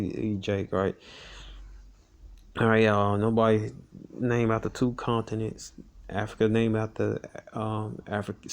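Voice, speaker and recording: Low gravelly guy reading an article out loud, stops a lot to comment, pretty flat tone. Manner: halting reading, constant "all right" interjections. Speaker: religious teacher of an Israelite group.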